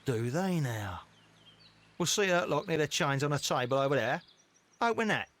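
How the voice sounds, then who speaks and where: A man's voice: fairly high pitch, sing-song, normal speed, fairly bright tone. An actor playing a suspicious, bossy criminal gang leader in a video game, curt and menacing as he gives orders. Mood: suspicious, challenging. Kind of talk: scripted video game acting.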